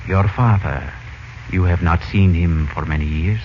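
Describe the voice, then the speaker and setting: Deep-voiced, courtly, mysterious Egyptian prince. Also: male voice, a little hiss or hum. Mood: guarded, courtly. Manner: formal, poetic, deliberate phrasing. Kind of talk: 1940s radio drama acting. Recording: muffled old radio recording.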